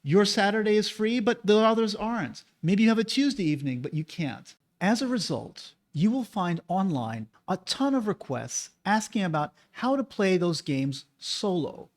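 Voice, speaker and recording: A man's voice: high-pitched, big pitch swings, moderate pace, hardly any pauses. A man hosting his own channel, talking casually and persuasively to the viewer, asking rhetorical questions. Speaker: board game channel host. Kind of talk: casual opinion monologue.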